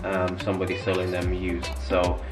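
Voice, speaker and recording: A man walking viewers through a home cooking demonstration in a relaxed, explaining manner. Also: low-pitched, normal speed. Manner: relaxed, explaining each step. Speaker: home cook, family vlogger. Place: home kitchen. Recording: echoey room with background music.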